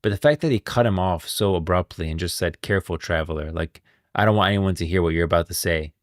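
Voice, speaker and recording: Deep-voiced man, casual streamer. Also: fast talker, no echo, clean studio sound. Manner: informal, emphatic, opinionated. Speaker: livestream host. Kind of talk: casual game lore commentary.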